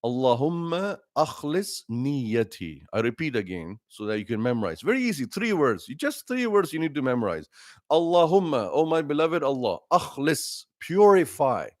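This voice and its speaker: A middle-aged man, an imam, giving an earnest livestreamed religious talk with numbered tips and pauses to greet viewers. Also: mid-range voice, big pitch swings, dry recording, studio quality.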